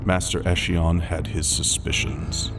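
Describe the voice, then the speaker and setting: A man's voice: low voice, little pitch movement, measured pace. A man narrating a science-fiction audiobook in a dramatic, scripted storytelling style. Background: music.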